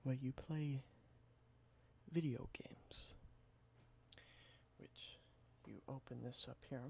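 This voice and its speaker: A man who makes ASMR videos, doing a whispered roleplay and slipping between a soft whisper and a low, unhurried speaking voice. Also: steady tone, creaky. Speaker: ASMR creator. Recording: noticeable background hiss.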